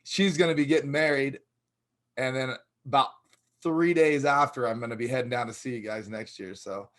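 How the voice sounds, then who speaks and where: A man's voice: medium pitch, steady tone, moderate pace, a bit bright. A middle-aged man hosting a casual chat, laid-back and agreeable, laughing easily. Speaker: host.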